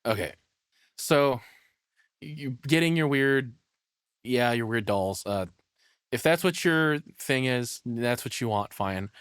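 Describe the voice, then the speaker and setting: A man's voice: medium pitch, animated, takes it slowly, long pauses, fairly bright tone, clean tone, dry recording. A man giving casual, crude reaction commentary as a streamer, speaking bluntly and swearing freely into a close microphone.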